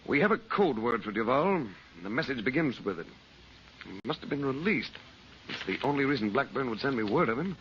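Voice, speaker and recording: An actor playing a shrewd, composed uncle, a magician adventurer, in an old-time radio serial with grave, measured delivery. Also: male voice, mid-range voice, sing-song, close to an everyday voice. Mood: grave, assured. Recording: muffled, faintly noisy old radio recording.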